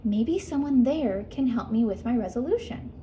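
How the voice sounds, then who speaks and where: A high, squeaky little squirrel from a picture book, eager and excitable, her voice leaping all over the place, as a woman reading aloud puts her on. She is a helpful, bright-eyed forest creature. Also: moderate pace, slight background noise, natural voice.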